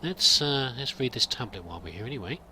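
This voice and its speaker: A man's voice: medium pitch, lively intonation, quick pace, sharp tone, slight background noise. A man roleplaying a pilgrim in a game, musing and thinking aloud in a hesitant, wandering way. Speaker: let's play roleplayer. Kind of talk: in-character game commentary.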